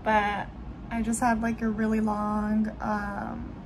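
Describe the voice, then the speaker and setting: A woman's voice: mid-range voice, completely flat delivery, slow pace. A woman in her twenties chatting casually to her phone camera in a small apartment, rambling with lots of fillers. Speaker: vlogger. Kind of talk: casual vlog chat to camera. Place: small apartment room, indoors. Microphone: phone camera held at arm's length. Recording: noisy room with air conditioner hum. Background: air conditioner running.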